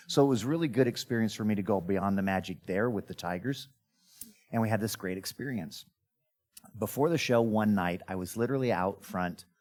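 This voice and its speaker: Middle-aged male entertainer, very low voice, chatty interview storyteller. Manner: animated storyteller who acts out quoted dialogue. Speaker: magician guest telling his life story.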